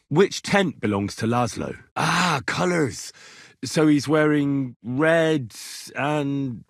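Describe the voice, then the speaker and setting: An actor playing an earnest, blunt young medieval squire in a video game, plain-spoken and a little husky. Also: male voice, mid-range voice, dry recording, studio quality, full character voice. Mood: inquisitive. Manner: plain-spoken, polite to nobles, bluntly challenging when provoked. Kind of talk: video game dialogue acting.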